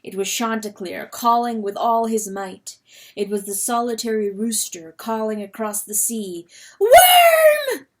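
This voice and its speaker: A woman reading a novel aloud as dramatic narration, expressive and full of suspense. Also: mid-range voice, normal speed. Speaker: audiobook reader.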